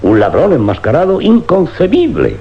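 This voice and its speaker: Troubled, animated police inspector. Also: male voice, on the high side, moderate pace. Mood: baffled, weary. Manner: dramatic, troubled. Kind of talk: radio drama acting. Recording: dull old-style radio recording.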